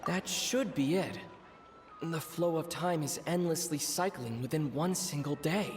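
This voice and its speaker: A man voicing a serious young traveler in a video game, reasoning in a measured, reflective way. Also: on the high side, a little hiss or hum, lightly acted. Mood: pondering. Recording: long reverb, music behind.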